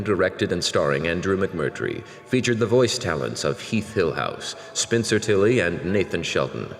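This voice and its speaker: A man delivers theatrical horror-show announcements in a deep voice with sweeping inflection. He sounds grandiose and ominous, set in a long reverb. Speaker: podcast announcer. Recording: long cavernous reverb over music.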